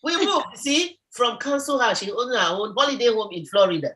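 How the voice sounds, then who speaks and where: A woman's voice: a little high, big pitch swings, brisk, fairly bright tone. A woman speaking effusively on a live call, preacher-like, repeating phrases and crying out religious exclamations. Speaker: guest on a live business call. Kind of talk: exuberant testimony.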